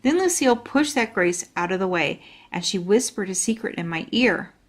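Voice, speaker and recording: A woman's voice: medium pitch, expressive, moderate pace. A woman reading a children's storybook aloud as a storyteller.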